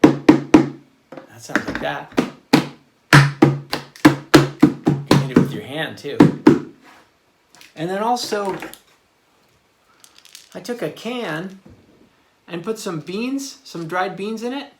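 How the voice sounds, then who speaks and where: A man giving a casual, hands-on drum lesson for children, talking in a halting, exploratory way. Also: sits high, lively intonation, loud music behind the voice. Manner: halting, exploratory, sounding out rhythms with his mouth. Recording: roomy echo, loud clattering percussion noise. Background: banging on household objects.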